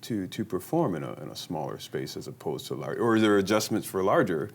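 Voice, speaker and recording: A man hosting an interview who asks questions haltingly, often restarting words and laughing. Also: deep voice, expressive, vocal fry.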